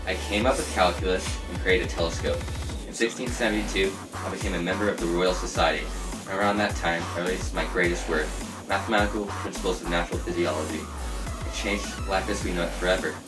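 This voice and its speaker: A man's voice: low voice, fairly even intonation, a little fast, close to an everyday voice. A man playing an earnest male scientist guest on an amateur talk-show skit, reciting his lines stiffly with stumbles. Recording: roomy, distant, music behind.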